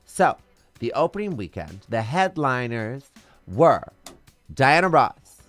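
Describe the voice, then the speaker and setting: A man's voice: medium pitch, sing-song, slow, fairly bright tone, dry recording, faint music behind. Flamboyant man with a strong voice. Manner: flamboyant, theatrical storyteller with big swoops. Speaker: drag queen guest. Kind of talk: casual interview chat.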